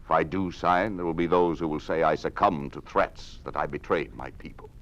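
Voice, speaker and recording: An actor playing a weary, principled governor in an old-time radio drama, speaking in earnest, measured oratory. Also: male voice, rumbling low, a bit bright, lo-fi sound. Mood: grave, defiant. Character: weary, principled male governor resisting a totalitarian regime.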